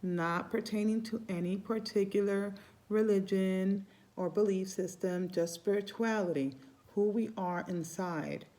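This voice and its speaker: A woman's voice: mid-range voice, unhurried, mellow. A middle-aged woman from Cuba who is a Santeria priestess, talking in a casual, rambling way on her vlog and often restarting her phrases. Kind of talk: casual spiritual vlog talk.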